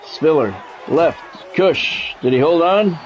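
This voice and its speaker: A man doing measured, broadcast-style football play-by-play over a video game, in clipped phrases with casual gamer asides. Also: fairly high pitch, lively intonation, some chatter behind, slight background noise, poor audio.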